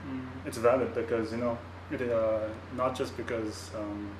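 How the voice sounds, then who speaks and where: A man's voice: mid-range voice, fairly even intonation, moderate pace, noisy background. A young man from Bhutan, a student, talking casually and hesitantly with many fillers. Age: young adult. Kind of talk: casual chat. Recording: echoey room, far from the mic.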